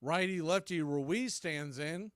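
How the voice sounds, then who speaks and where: A man calls baseball live, play by play. His voice sits high and sounds clear and smooth. The recording is dry, with hardly any room around it. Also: slow, dry recording.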